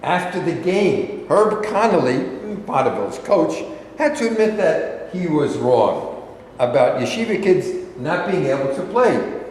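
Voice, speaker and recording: A man plays a proud, protective school coach in a stage play. His emphatic voice is fairly high and swings widely in pitch, ringing in a very echoey hall. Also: sing-song, measured pace, darkish tone. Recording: very echoey hall, faint noise.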